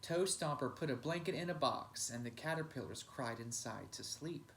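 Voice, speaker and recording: A man's voice: medium pitch, expressive, normal speed, a bit bright, a little hiss or hum. A man reading a children's picture book aloud as a teacher, with dramatic, emphatic narration. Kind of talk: expressive storybook narration.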